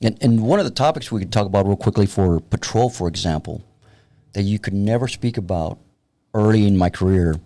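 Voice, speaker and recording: A man's voice: lowish voice, animated, a little fast, warm tone. A man in his fifties from San Antonio, a guest and tactical trainer talking in a wry, plain-spoken way on a podcast. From San Antonio, Texas. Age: 50s. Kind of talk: casual podcast conversation.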